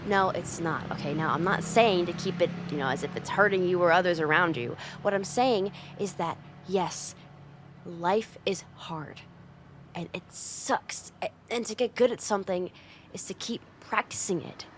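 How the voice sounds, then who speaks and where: A woman as a brusque, low-voiced tsundere delinquent girl. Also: moderate pace, slight background noise, lightly acted. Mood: stern encouragement. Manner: blunt, teasing, streetwise, with hesitant softening. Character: tough tsundere delinquent girl, brusque but secretly caring. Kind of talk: ASMR roleplay monologue addressed to the listener.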